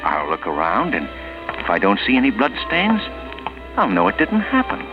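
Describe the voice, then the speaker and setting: An actor playing a guilt-ridden, jittery murderer who talks to himself in halting, agonized 1940s radio style. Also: male voice, on the low side, wildly swooping pitch. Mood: anxious, self-deluding. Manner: agonized, halting, stammering monologue. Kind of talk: 1940s radio mystery acting. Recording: muffled 1940s radio recording, loud music behind.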